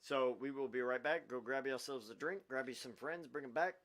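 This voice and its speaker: A man's voice: medium pitch, fairly quick, fairly bright tone. A man co-hosting a gaming show with casual, playful chat.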